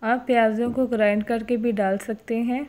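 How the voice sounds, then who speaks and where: A woman calmly narrating a home cooking recipe step by step as host of her cooking channel. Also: a little high, moderate pace.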